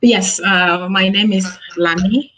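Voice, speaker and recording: A woman's voice: medium pitch, steady tone, slow, fairly bright tone. A woman from Cameroon, a nurse practitioner, introducing herself on a panel earnestly, with many fillers.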